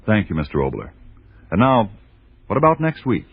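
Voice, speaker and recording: A man's voice: fairly low pitch, huge pitch swings, moderate pace, creaky, breathy edge, no room sound. An American man announcing in emphatic 1940s radio sponsor style. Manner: emphatic sales delivery. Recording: tinny 1940s radio recording.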